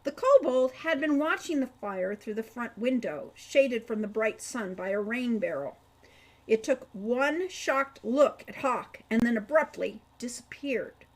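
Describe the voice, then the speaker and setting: A woman's voice: a little high, poor audio. A woman reading a scripted story aloud as narrator, with a dramatic storytelling delivery. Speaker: author reading own audiobook chapter.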